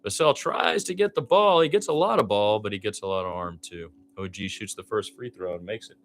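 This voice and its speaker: A man's voice: fairly low pitch, a little fast. A man doing live radio basketball play-by-play in a conversational, opinionated, exclamatory way.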